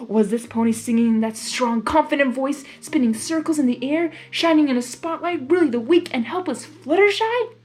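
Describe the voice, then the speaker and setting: High-pitched, excitable woman reading aloud. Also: moderate pace. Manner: gushing, excitable, giggly. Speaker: host reading fan fiction aloud. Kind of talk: blind story reading with excited asides.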